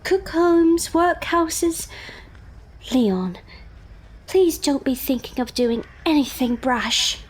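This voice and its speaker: A woman's voice: sits high, expressive, slow pace, rough recording. A woman playing a prim, anxious young lady in a period audio drama, speaking in a genteel, careful way. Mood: prim, concerned.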